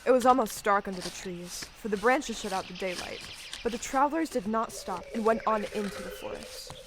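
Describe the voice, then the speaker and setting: A woman's voice: medium pitch, fairly quick, noisy background. A woman giving scripted storybook narration for an audio play. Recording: live stage recording with music underneath.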